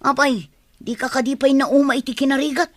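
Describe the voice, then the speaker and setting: A woman's voice: sits high, steady tone, brisk, a bit bright, no echo, studio quality. A woman playing a scheming, money-hungry younger sister in a radio drama, persuasive and insistent. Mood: sly, determined. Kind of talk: Ilocano radio drama acting.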